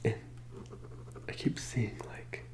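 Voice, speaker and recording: Whispering man, soft ASMR psychic role-play. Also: slow pace. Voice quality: breathy whisper. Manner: hesitant, gentle, soothing delivery. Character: gentle, sympathetic scam psychic medium. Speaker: ASMR performer playing a psychic medium.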